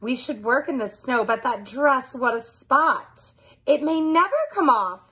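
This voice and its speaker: A woman's voice: high voice, animated, unhurried, sharp tone, husky, voice pushed up, exaggerated voice. A woman voicing a worried, scolding young girl from a storybook, with an anxious, warning manner.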